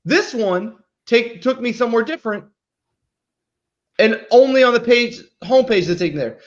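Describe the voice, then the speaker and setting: A man's voice: extremely high pitch, expressive, moderate pace. A man hosting a live show, casually presenting and critiquing in an emphatic, informal way, often tagging points with a question for agreement. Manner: peppers talk with tag questions seeking agreement. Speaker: host of a live marketing show. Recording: dull lo-fi audio.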